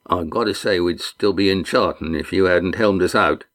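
A male narrator voicing a blunt, loyal innkeeper turned sailor, speaking in a folksy dialect with dropped grammar, pitched above his own voice. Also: moderate pace, a bit bright. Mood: gruff.

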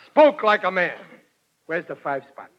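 A man's voice: sits high, sing-song, measured pace. An actor with an American accent playing a blustering working-class family man, boastful but cowardly, in a 1940s radio sitcom. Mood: cocky, teasing. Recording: muffled, noisy 1940s radio recording.

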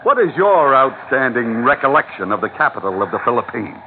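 A man's voice: mid-range voice, sing-song, brisk, noisy background. A man playing a cocky, sardonic first mate on a sailing ship, in 1940s radio adventure style. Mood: sardonic, teasing. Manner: sardonic banter, bellowed orders. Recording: tinny old radio recording, music behind.

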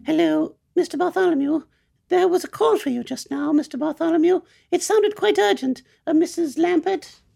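Meek secretary, super high mellow voice, creaky, faint music, radio play. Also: female voice, normal speed, heavily acted. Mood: deferential. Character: deferential female secretary passing on messages. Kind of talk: radio drama acting.

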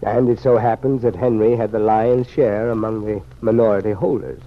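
An actor playing a grave, regretful man in an old-time radio serial, speaking in an earnest, measured way. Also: on the low side, steady tone, lightly acted. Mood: somber. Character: grave, regretful man, troubled family friend. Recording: muffled, noisy old radio recording.

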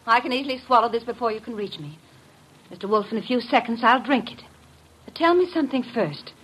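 Husky, dramatic radio murderess. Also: female voice, fairly high pitch, animated, fairly quick, a bit bright, rough recording. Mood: desperate, defiant. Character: cool, cunning murderous wife, defiant when cornered. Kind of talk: 1940s radio acting.

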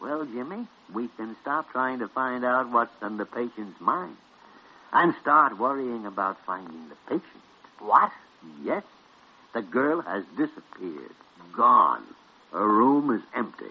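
An actor playing a crusty old senior doctor in 1940s radio drama, gruff, blunt and wry, with a raspy voice. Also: male voice, mid-range voice, big pitch swings, a little slow, slight background noise. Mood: brisk. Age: older. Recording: muffled old radio recording.

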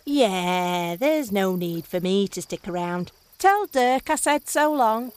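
An actress playing a brusque, self-interested heiress in a fantasy audio drama, offhand and dry. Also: female voice, mid-range voice, big pitch swings, slow, a little mellow, clean tone, dry recording. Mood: brisk dismissal.

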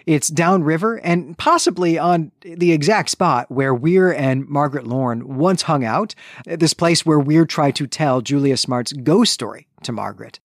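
A man's voice: a little high, unhurried, no room sound. Man recapping a book, dry close sound. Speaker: podcast co-host. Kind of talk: analytical book recap discussion.

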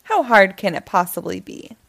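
A woman voicing an eager, boastful, easily flustered male cat in a children's storybook, in an excitable, dramatic manner. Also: medium pitch, moderate pace, creaky, full character voice. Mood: excited, boastful. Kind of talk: animated children's storybook character reading.

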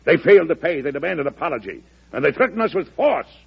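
Husky, indignant president, old radio. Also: male voice, a little high, sing-song, fast, full character voice. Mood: firm. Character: stubborn, commanding American president, firm and indignant. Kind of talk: old-time radio drama acting. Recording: dull vintage radio recording.

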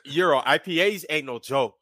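A man on a podcast, jumping in with animated questions and banter. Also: fairly high pitch, moderate pace, no room sound, clean studio sound. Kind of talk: casual chat.

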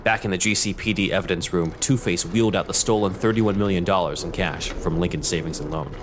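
A man narrating an audio drama in a steady, measured storytelling manner. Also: sits low, music in the background.

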